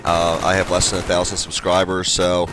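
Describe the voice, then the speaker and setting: A young man with a slightly low, congested voice talks casually through a gameplay video, rambling a little with ums and uhs. Gunfire and explosions from the game are loud behind him. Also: monotone, normal speed, noisy background. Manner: chatty, rambling with fillers. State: stuffed up, congested. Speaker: gaming channel host.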